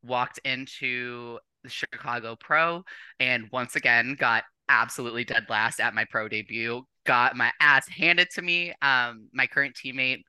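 Very bright, forceful young North American woman. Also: a bass voice, clear, resonant tone, no echo. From born or raised in Canada, later the United States. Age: young adult. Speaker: podcast guest, competitive bodybuilder. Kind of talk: casual chat, personal storytelling.

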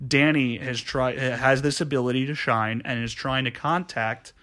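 A man in a casual film discussion, with a mid-pitched voice. He explains scenes haltingly as a podcast co-host, often rephrasing himself as he goes. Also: steady tone, pushed and bright.

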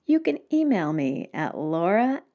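A woman's voice: fairly low pitch, sing-song, measured pace, dark tone, dull audio. A woman hosting her own podcast, explaining things step by step in a casual solo talk. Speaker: podcast host. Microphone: home microphone.

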